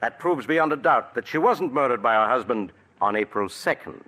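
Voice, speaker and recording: A man's voice: medium pitch, animated, sharp tone. An actor playing a shrewd male doctor exposing a murderer in a 1940s radio drama. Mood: probing. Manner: measured, probing, accusing. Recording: old radio broadcast recording.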